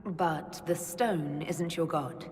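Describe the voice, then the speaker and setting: An actress playing the composed, inquisitive leader of a fantasy order in a video game, direct and authoritative. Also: female voice, sits low, slow pace, a little mellow. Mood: thoughtful. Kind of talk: video game cutscene acting. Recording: long cavernous reverb, music underneath.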